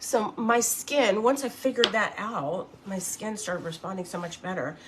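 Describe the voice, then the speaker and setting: A woman's voice: mid-range voice, a little slow, slight background noise. A woman in her early fifties hosting a casual makeup livestream, chatting and rambling as she works and reads out viewer comments. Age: 50s.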